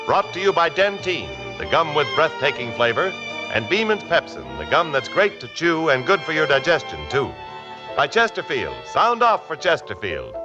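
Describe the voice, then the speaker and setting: A man's voice: on the high side, huge pitch swings, normal speed. An American man working as a radio announcer, reading scripted show credits and sponsor copy in a formal, emphatic 1950s showman style. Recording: muffled old radio recording over music.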